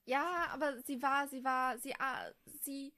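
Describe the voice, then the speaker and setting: A woman's voice: high-pitched, fairly even intonation, unhurried, pushed and bright. A woman co-hosting a fan podcast in casual, conversational chat. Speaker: podcast co-host.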